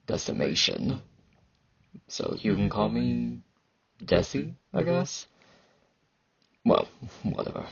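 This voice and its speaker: Guy doing a super croaky fantasy knight asmr, awkward n blunt, slow halting talk but pitch moves around, bit dull sounding mic. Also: exaggerated voice. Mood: awkward, reserved. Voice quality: low gravelly husky voice; sibilant S sounds. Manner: halting, hesitant, dryly dismissive. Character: blunt, awkward male fantasy knight, enhanced warrior soldier. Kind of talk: intimate ASMR roleplay monologue.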